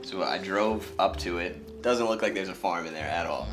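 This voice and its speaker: A man's voice: low voice, normal speed, music in the background. A young man telling a joking, laid-back story at home, with many fillers. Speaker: interviewee, farmer's husband. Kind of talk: casual storytelling. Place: home kitchen and living room.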